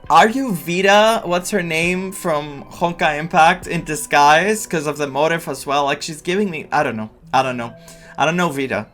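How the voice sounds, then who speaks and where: A man giving casual, excitable let's play commentary as a game streamer. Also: on the high side, moderate pace. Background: game music.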